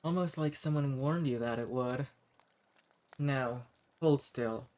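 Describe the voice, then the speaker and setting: Guy doing a old sadistic vampire man for audio roleplay, mid pitch, talks down to you like a pet, slow taunting menace. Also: darkish tone, close to an everyday voice. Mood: taunting, commanding. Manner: condescending pet names, taunting, unhurried menace. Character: centuries-old sadistic male vampire, coolly mocking and possessive. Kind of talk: scripted audio roleplay spoken directly to the listener.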